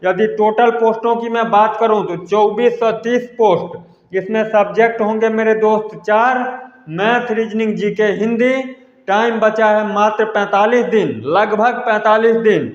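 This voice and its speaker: A man's voice: high voice, steady tone, a little slow. A man gives an informal exam update to students, repeating himself emphatically and addressing them as friends. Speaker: exam news presenter. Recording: echoey hall-like reverb, dull lo-fi sound.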